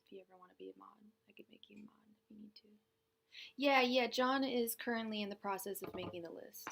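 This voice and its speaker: A woman's voice: medium pitch, creaky, fairly bright tone, slight background noise. A young woman hosting a tarot livestream, chatting casually, greeting viewers by name and laughing often. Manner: says she talks fast.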